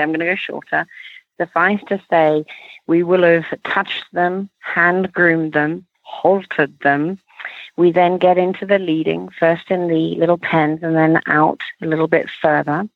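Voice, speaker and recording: Female horse trainer, gravelly edge, emphatic step-by-step interview explainer, thin phone audio. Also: fairly low pitch, steady tone, moderate pace, no room sound. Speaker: horse trainer and clinic instructor. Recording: band-limited phone line.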